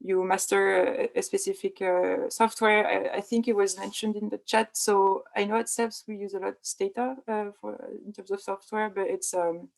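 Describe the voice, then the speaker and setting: A young woman researcher speaking on an online panel, halting and full of fillers. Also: medium pitch, level tone, gravelly. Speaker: think tank researcher.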